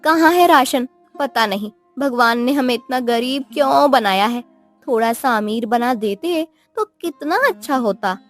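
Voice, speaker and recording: A woman's voice: a little high, unhurried, soft background music, close to an everyday voice. A woman voicing a poor, wistful village wife who nags and pleads in a cartoon story. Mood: pleading. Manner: plaintive, pleading. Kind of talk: Hindi cartoon moral story voice acting.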